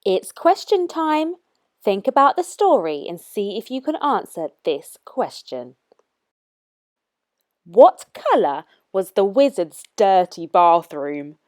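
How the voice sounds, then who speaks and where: A woman reading aloud as a children's storytime narrator, with expressive, careful and deliberate phrasing. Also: mid-range voice, dry recording, studio quality. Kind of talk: scripted storybook read-aloud for young children.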